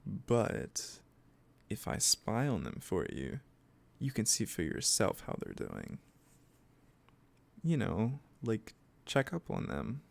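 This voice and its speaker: A man playing an obsessive, doting yandere kidnapper in an intimate roleplay audio, gushing and coaxing with a sweetly menacing edge and halting asides. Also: lowish voice, huge pitch swings, vocal fry, heavily acted. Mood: scheming, reassuring. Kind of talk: scripted roleplay audio, intimate one-sided dialogue.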